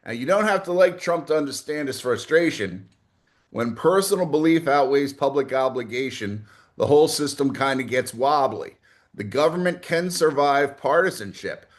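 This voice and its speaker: A man's voice: medium pitch, lively intonation, normal speed. A man delivering an opinionated political monologue as a commentator, bluntly spoken, mixing scripted lines with offhand asides and rhetorical questions.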